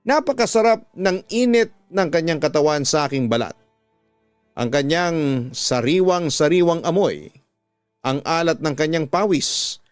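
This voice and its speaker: A Filipino man with a slightly high voice tells a dramatic radio story in slow, emotive phrases. He is recorded close and dry, with music playing underneath. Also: clear, resonant tone, no echo. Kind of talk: dramatic scripted story narration. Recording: music bed behind a dry studio voice.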